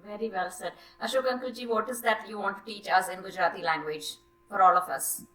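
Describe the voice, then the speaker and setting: A woman's voice: mid-range voice, steady tone, brisk, a bit bright. A woman moderating a panel, responding with short affirmations. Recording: echoey, distant from mic.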